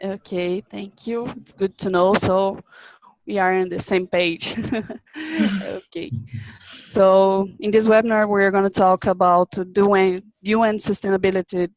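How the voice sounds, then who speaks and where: A young woman from Brazil, a business student, presenting a webinar in a halting way, often repeating words as she searches for them. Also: mid-range voice, level tone, a little mellow, no room sound, rough recording.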